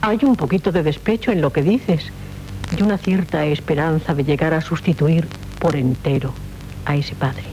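A female actor's royal princess from a 1970s radio drama, haughty and full of teasing irony. Her voice sits fairly low and delivers lines with theatrical mischief. Faint noise and rumble sit behind it. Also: moderate pace, darkish tone, close to an everyday voice, poor audio. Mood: teasing, ironic.

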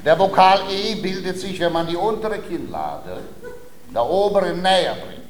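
An actor in a comic radio play, playing a patient, self-important language tutor who speaks in a precise, didactic and formal way. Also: male voice, high-pitched, expressive, moderate pace, roomy sound, a little hiss or hum. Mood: patient instruction. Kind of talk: theatrical classic-comedy radio acting.

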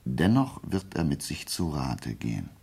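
An actor playing a shrewd, self-assured gentleman detective in a German radio play, reasoning deliberately and with sly politeness. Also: very deep voice, big pitch swings, vocal fry, slightly rough, heavily acted. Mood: confident, reasoning.

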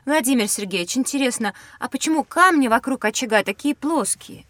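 Curious, highish-voiced young pupil. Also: female voice, expressive, fairly quick, fairly bright tone, no echo. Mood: curious, attentive. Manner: inquisitive, descriptive. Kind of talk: scripted educational audio drama.

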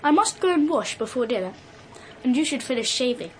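A woman's voice: high-pitched, very animated, fairly quick, slight background noise, rough recording. An actress playing a precocious, morbid little girl detective in a radio drama, matter-of-fact and knowing.

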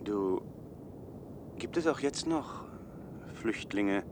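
A man's voice: on the low side, animated, very slow, a little mellow, a little hiss or hum. An actor playing a troubled, hesitant man on an expedition in a 1970s German radio play. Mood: uneasy, questioning. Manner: hesitant, earnest.

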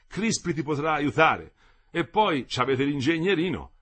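Storybook figure: man reading as a blunt old laborer; husky, raised, gruff. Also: a little high, expressive, a little slow, fairly bright tone, voice pushed up, heavily acted. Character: old male senior worker, grumbling.

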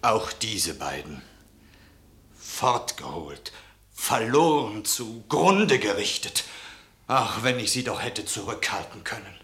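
An actor playing an agitated, reproachful outsider of conscience in a radio play, speaking with passionate exclamations in a roomy space. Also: male voice, lowish voice, sing-song, takes it slowly, pushed and bright, heavily acted. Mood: despairing. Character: agitated, accusing man of conscience, outsider in town. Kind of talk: German radio play acting.

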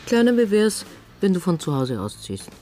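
An actress playing a bossy, sardonic theatre boss in a radio drama, blunt and commanding. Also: female voice, fairly low pitch, very animated, moderate pace, dark tone, soft background music. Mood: needling.

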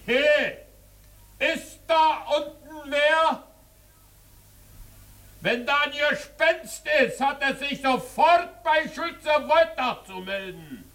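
An actor playing a male mayor in a German radio play, calling out slowly in mock-official tones to a ghost. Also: extremely high pitch, expressive, bright, forceful tone, far from the mic, exaggerated voice, poor audio. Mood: mock stern.

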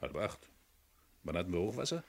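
Cheeky rogue sidekick, lowish voice that bounces around a lot, chatty, sweet-talking, old radio thriller. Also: male voice, sing-song, brisk, slightly rough, exaggerated voice. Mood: casual. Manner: chatty, casual, cajoling. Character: breezy, roguish male sidekick and treasure hunter. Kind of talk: radio crime drama dialogue.

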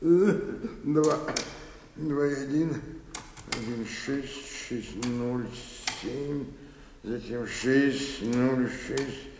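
An actor playing a worn-out, hot-tempered old bank bookkeeper who grumbles and mutters to himself in a radio play. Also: male voice, mid-range voice, very slow, noisy background. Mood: sour muttering. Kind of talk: theatrical radio play acting. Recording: echoey, reverberant studio hall.

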